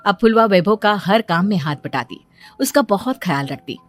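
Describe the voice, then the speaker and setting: A woman narrates a cartoon moral tale as storyteller. Her voice sits at a middle pitch, with light music running underneath the scripted reading. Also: expressive, moderate pace.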